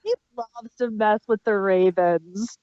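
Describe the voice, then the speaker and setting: A woman's voice: mid-range voice, fairly even intonation, slow pace, darkish tone, no echo, dull audio. A woman co-hosting a podcast, chatting casually in a wry, joking manner. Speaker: podcast co-host. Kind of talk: casual chat.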